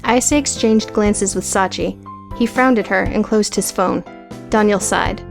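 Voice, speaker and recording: A woman's voice: mid-range voice, measured pace, vocal fry, soft background music. A woman reading scripted, dramatic audiobook narration.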